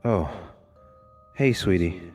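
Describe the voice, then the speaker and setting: A man's voice: sits low, lively intonation, takes it slowly, a little mellow, faint music behind, heavily acted. An actor playing a driven, weary police detective and family man in a suspense audio drama, earnest in manner. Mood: gentle, apologetic.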